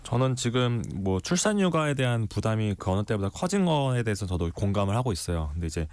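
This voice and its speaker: A man in his forties talking conversationally as an interview guest, reflecting and softening his opinions as he goes. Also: lowish voice, big pitch swings, a little fast, a little hiss or hum. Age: 40s. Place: radio studio.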